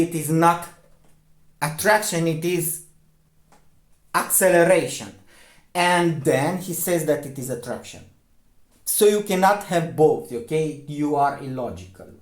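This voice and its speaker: A man with a Romanian accent, a native Romanian speaker, giving a lecturing commentary, insistent, often checking "okay?" and pausing on fillers. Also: a little high, roomy sound. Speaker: video commentator.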